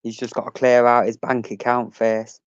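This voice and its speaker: Guy chatting on his stream, reads the chat out, smooth voice but pretty monotone, dull lo-fi sound. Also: mid-range voice, moderate pace, dry recording. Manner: chatty, reads out chat messages, swears casually. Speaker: livestream host reading chat. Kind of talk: casual chat.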